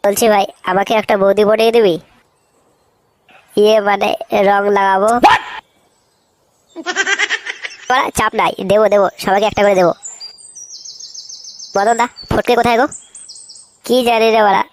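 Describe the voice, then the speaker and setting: A man's voice: high-pitched, level tone, a little fast, long pauses. Brash, slangy young cartoon layabout acted by a man. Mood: cocky, bantering. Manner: swaggering, slangy. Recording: faintly noisy cartoon dub, birdsong behind.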